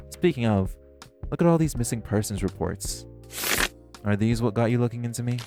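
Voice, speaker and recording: A man acting a low, gravelly, sardonic vampire. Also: darkish tone. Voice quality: gravelly, creaky voice. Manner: teasing, theatrical, swearing, sighing. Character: smug, hungry male vampire, sardonic and flirtatious. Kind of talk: audio roleplay monologue to the listener.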